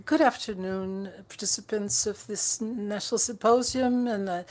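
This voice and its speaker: A woman interpreting a conference speech live, halting often with fillers and restarts. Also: medium pitch, fairly even intonation. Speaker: simultaneous interpreter.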